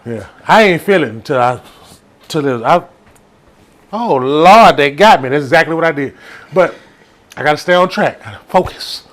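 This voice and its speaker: A man's voice: a little high, animated, normal speed, long pauses, a bit bright. A man from Texas with a Southern US accent, telling comic stories in a casual, playful, rambling way. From Texas, United States. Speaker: storyteller.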